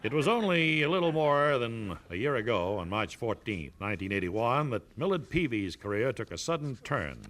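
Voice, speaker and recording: A man's voice: mid-range voice, sing-song, moderate pace, hardly any pauses, fairly bright tone, a little hiss or hum. A man playing a pompous radio news editor presenting an award, in a comedy sketch.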